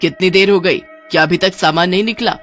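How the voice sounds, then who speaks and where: A woman's voice: fairly low pitch, fairly quick, fairly bright tone, faint music behind, close to an everyday voice. A woman voicing a bossy, menacing witch with a scolding, demanding manner for a horror cartoon. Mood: bossy, irritated. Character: bossy, menacing female witch ordering her husband around.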